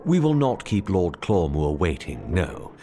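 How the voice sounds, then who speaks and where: A man's voice: sits low, big pitch swings, a little slow, warm tone, slight background noise. A man playing a cold, serious and haughty financial agent in a gothic audio drama, curt and formal. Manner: curt, severe, formally clipped.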